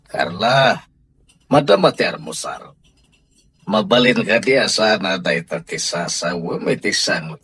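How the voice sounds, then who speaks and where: A man's voice: fairly low pitch, measured pace, a bit bright, a little hiss or hum. A man with an Ilocano accent playing a firm, sanctimonious husband and head of household in a radio drama. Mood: stern.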